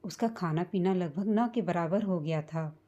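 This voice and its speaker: Mellow woman narrating an emotional story. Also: lowish voice, unhurried, dull audio.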